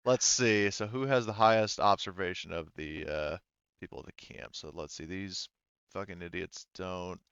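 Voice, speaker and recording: Man, game master, casual sweary narration, smooth clean tone, slightly dull lo-fi recording. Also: low voice, fairly bright tone, dry recording. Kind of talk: casual tabletop game narration and rules talk.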